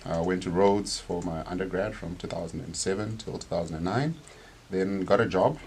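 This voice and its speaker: Low-voiced relaxed young man. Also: moderate pace, a little hiss or hum. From Malawi. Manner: relaxed, loose, with many fillers. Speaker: guest. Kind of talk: radio interview. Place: radio studio.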